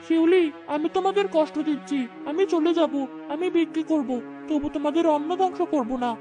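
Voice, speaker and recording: A woman plays a gentle young fairy cursed to live as a human. Her voice is high and earnest, with a plaintive, self-doubting way of speaking. It is cartoon fairy-tale dialogue with music behind it. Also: fairly quick, natural voice. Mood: despairing.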